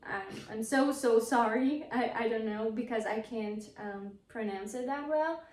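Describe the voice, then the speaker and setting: A woman, a Farsi speaker, casually explaining steps in a vlog and often pausing to search for words. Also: a little high, a little mellow. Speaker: vlogger co-host. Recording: roomy echo, mic at a distance.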